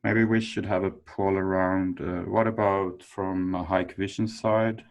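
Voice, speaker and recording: A man's voice: sits low, level tone, measured pace. A man chairing an informal work conference call, hesitantly thinking aloud. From Sweden. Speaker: meeting chair.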